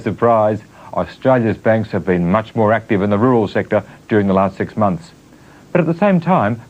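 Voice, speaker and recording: A man reporting business news in a measured, dry news voice-over. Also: mid-range voice. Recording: muffled old TV broadcast.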